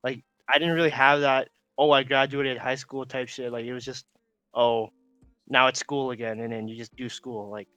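A man's voice: medium pitch, little pitch movement, moderate pace, sharp tone, no room sound. A man in his late teens from Canada, chatting as a podcast guest in rambling slang full of "like" and "bro". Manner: laid-back, rambling slang with many fillers. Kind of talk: casual chat.

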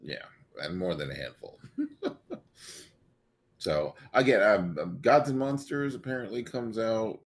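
A man with a very low, deep voice co-hosting a casual podcast. He speaks loosely with plenty of fillers and laughs easily. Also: lively intonation, unhurried, long pauses. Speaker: podcast co-host. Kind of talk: casual chat.

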